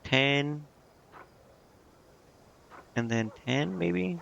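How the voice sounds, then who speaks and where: A man with a creaky, gravelly voice musing his way through a game on stream. He talks casually, half to himself, often asking and answering his own questions. The mic sounds dull and lo-fi, with clear noise behind him. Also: a little high, extremely slow. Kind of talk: casual gameplay commentary, thinking aloud.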